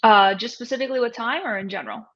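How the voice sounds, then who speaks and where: A woman's voice: fairly high pitch, fast, a bit bright. Dull-sounding recording of a woman explaining. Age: 30s to 40s. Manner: explanatory, often checking with "right?" and "you know". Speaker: nutrition coach and guest. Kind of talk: conversational interview answers. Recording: dull, lo-fi audio.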